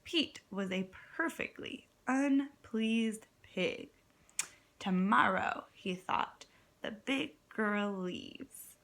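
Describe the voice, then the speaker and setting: A woman, a kindergarten teacher, reading a storybook aloud expressively, with dramatic pauses and encouraging questions for young listeners. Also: mid-range voice, a bit bright. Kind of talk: children's read-aloud with prompting questions.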